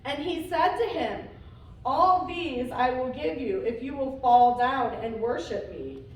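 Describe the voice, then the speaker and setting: A woman reading a scripture passage aloud in church at a measured, deliberate pace. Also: high-pitched, highs cut off. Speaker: scripture reader. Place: church sanctuary. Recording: echoey church from far off.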